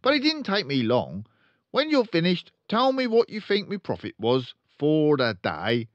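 A man's voice: fairly high pitch, wildly swooping pitch, unhurried, no room sound, muffled recording, voice pushed up. A man voicing a boastful, bullying, hot-tempered father in a storybook, blustering and pompous. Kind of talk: storybook read-aloud character voice.

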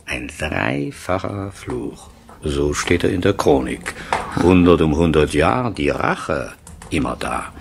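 Jovial posh castle lord, really deep, super animated, chummy n grandiose, old 70s radio drama. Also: male voice, takes it slowly, creaky, background noise, exaggerated voice. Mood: ominous, relishing. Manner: chummy, grandiose, hesitating for effect. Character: jovial, business-minded English castle lord, storytelling aristocrat. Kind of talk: 1970s German radio play acting.

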